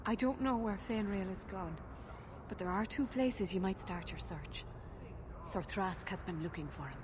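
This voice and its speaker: A woman's voice: mid-range voice, normal speed, close to an everyday voice. An actress playing an anxious, devoted mother in a video game, earnest and imploring. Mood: worried, relieved. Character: anxious, pleading elven mother, desperate and devoted. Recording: noisy game capture with ambience.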